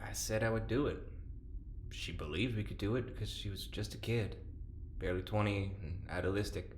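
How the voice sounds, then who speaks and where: Guy doing a tired stubborn prisoner, halting and blunt, audio drama, roomy with background noise. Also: lowish voice, close to an everyday voice. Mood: bitter, regretful. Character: weary, guilt-ridden, stubborn male prisoner. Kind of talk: naturalistic audio drama acting.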